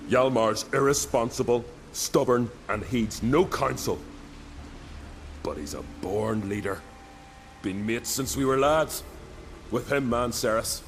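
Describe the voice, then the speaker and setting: An actor playing a loyal, hot-headed young island warrior in a video game, with an earnest, colloquial way of telling a tale. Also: male voice, mid-range voice, takes it slowly, slight background noise. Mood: wary, earnest. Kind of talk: dramatic video game voice acting.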